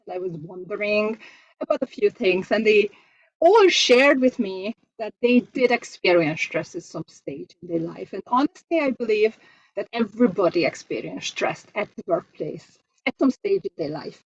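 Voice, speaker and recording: Woman, Hungarian accent, fairly high voice, earnest online presenter, questions the audience. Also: poor audio. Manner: earnest, asks the audience questions, restarts phrases. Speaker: homeopath and masterclass presenter.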